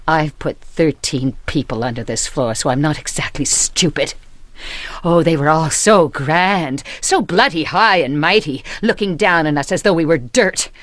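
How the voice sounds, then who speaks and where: A woman's voice: low voice, measured pace, no room sound. A woman playing a murderous, bitterly vengeful mother with genteel, mock-polite manners in an old-time radio drama. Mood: cold contempt. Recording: noisy vintage radio broadcast.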